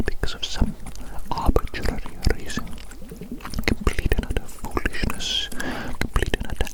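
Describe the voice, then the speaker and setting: A woman's voice: moderate pace, lightly acted. A woman playing a grandiose evil doctor who is fond of a creature, musing theatrically and repetitively in formal words in an ASMR roleplay. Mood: musing, disdainful.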